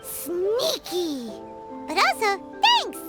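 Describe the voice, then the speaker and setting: Game fairy sidekick: tiny excitable girl, extremely high squeaky voice, very animated, music behind. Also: drawn-out words, exaggerated voice. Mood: pleased. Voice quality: squeaky, childlike. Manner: exclamatory, refers to herself by name. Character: small childlike girl fairy companion, excitable and inquisitive. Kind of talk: video game voice acting.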